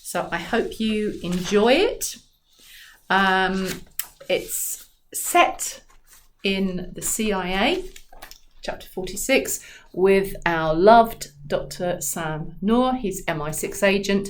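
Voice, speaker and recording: A woman author reading in an expressive, dramatic storytelling style with deliberate delivery. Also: mid-range voice, slight background noise. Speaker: author reading from own book.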